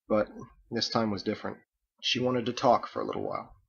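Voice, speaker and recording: A man's voice: lowish voice, sing-song, brisk, gravelly, fairly bright tone, slightly rough, dull audio. A man reading his own book as scripted audiobook narration.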